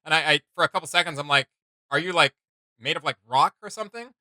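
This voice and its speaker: A man's voice: fairly high pitch, fast talker, pushed and bright, dry recording, studio quality. A man giving casual reaction commentary as a host, rambling and acting out imagined quotes as he goes.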